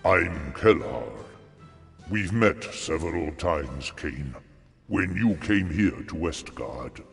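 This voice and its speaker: An actor playing an ancient, solemn dragon ally in a fantasy game, speaking in a grave, measured, patronizing way. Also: male voice, rumbling low, expressive, creaky, faint music behind, exaggerated voice. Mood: grave, calm. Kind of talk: fantasy video game voice acting. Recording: long hall-like reverb.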